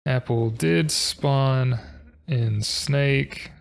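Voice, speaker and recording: A man hosting a coding tutorial, talking through his code in a low-key, monotone way, thinking aloud with fillers. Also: drawn-out words, gravelly. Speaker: programming tutorial host. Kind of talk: casual screen-recorded coding walkthrough.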